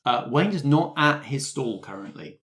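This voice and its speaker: Guy game mastering, normal pitch, setting up improv roleplay scenes as he goes. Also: lively intonation, moderate pace, fairly bright tone.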